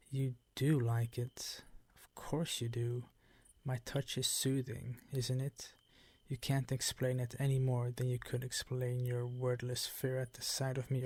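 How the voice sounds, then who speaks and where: Older vampire count played by a male actor for ASMR roleplay: suave, possessive, unhurried, condescending, with breathy menace and a close, dry sound. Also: mid-range voice, a little mellow, dry recording. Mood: coaxing, possessive. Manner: slow, ornate, condescending seductive purr.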